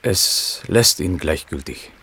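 A sober, knowing companion in a radio drama. He has a low voice and speaks slowly, in a measured and matter-of-fact manner. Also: lively intonation, vocal fry, fairly bright tone, husky, heavily acted. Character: sober, knowing male companion recalling a sick poet. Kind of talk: German radio play acting.

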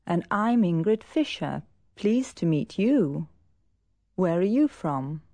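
An actress playing a German teacher from Berlin, speaking in slow, clearly articulated textbook lines. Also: female voice, lowish voice, very animated, warm tone, no room sound, exaggerated voice. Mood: polite. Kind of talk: scripted language course dialogue.

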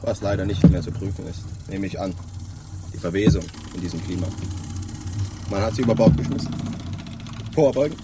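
A man's voice: low voice, fairly quick, pauses often. An actor playing a touchy, sardonic man of a pirate crew in a radio play. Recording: loud engine-like ship ambience noise.

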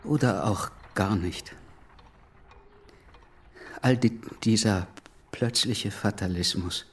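Slow, stuttering, weary revolutionary. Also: male voice, fairly low pitch, a little hiss or hum. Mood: quietly insistent. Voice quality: stutter on initial consonants. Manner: calm, tired, hesitant yet insistent. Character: weary, stuttering male revolutionary pressing for action. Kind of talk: naturalistic radio drama acting.

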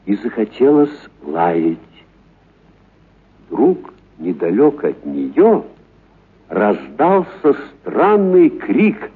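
A man narrating a literary story for radio in a dramatic, expressive storytelling manner. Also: mid-range voice, breathy edge. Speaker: narrator, actor reading a story. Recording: narrow, muffled radio-broadcast sound.

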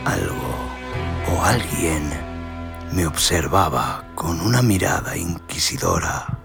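A man narrating a scripted western horror tale in a brooding, theatrical, deliberate manner. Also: deep voice, sing-song, vocal fry. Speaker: audio story narrator. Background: cinematic sound design and music.

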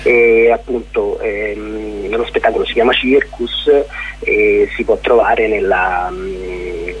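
Slow, hesitant man on a phone line. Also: lowish voice, fairly even intonation, slight background noise. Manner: hesitant, halting, searching for words. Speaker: interview guest, playwright. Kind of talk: informal radio phone interview. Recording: thin, narrow telephone line on radio.

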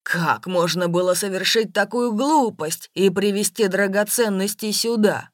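Audiobook role: bullying, suspicious man played by a female reader, lowered voice, forceful accusations, dry recording. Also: very animated, measured pace, dry recording, full character voice. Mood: outraged, shouting. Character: angry, bullying middle-class businessman, jealous and suspicious.

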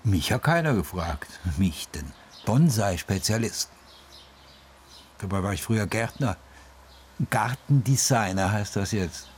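An actor playing an indignant, self-important older ex-gardener grumbling in an interview-like radio drama. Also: male voice, lowish voice, lively intonation, measured pace, slight background noise. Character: indignant older ex-gardener, former flower child.

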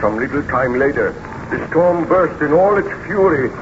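Troubled baron played by a man, lightish male voice, formal, measured, theatrical, noisy muffled 1930s radio recording. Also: fairly high pitch, lively intonation, close to an everyday voice. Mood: grave recounting. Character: troubled Baron recounting his tragic past. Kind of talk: 1930s Australian radio serial acting.

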